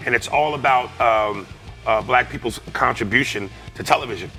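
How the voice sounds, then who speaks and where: A man with a raspy voice, a comedian guest talking casually in a TV studio with lots of fillers. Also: mid-range voice, moderate pace, bright, forceful tone, faint music behind. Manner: laid-back, full of fillers and asides. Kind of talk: casual TV interview chat.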